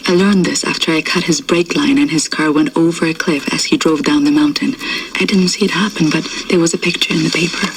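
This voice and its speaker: An actress playing a bitter, haunted wartime resistance woman in her thirties, reading her secret diary in a hushed, breathy, deliberate deadpan for a video game. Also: deep voice, distant mic, slight background noise, poor audio. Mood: grim, pained. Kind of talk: dramatic scripted video game audio diary.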